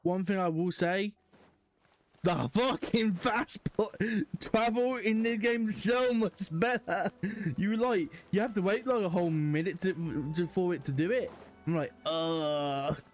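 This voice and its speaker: Casting: male gaming streamer with a notably high voice, informal and foul-mouthed commentary over quiet music. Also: a little slow. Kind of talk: casual gameplay commentary, profane.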